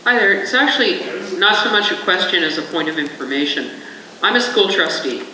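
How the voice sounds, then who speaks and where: Earnest school trustee lady rambling at a panel, bright voice, big echoey hall. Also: on the low side, expressive, fairly quick. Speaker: school trustee asking from the audience. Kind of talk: audience comment at a panel. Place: large conference hall. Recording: echoey hall, mic from a distance.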